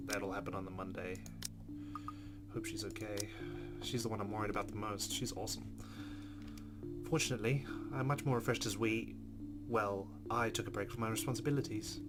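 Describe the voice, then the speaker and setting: A man hosting a let's play, reading the game's narration aloud expressively with quieter casual asides. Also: fairly low pitch. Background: guitar game music.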